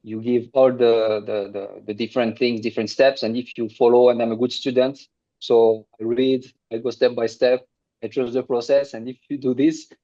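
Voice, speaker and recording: Earnest French-accented male guest, mellow tone, slightly muffled lo-fi sound. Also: medium pitch, level tone. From France. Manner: earnest, halting with restarts. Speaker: guest, agency owner. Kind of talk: casual interview.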